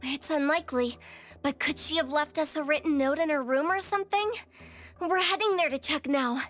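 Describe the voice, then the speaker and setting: A woman's voice: high voice, moderate pace, a bit bright, soft background music. A woman voicing a young, anxious and headstrong girl in a video game. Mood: worried.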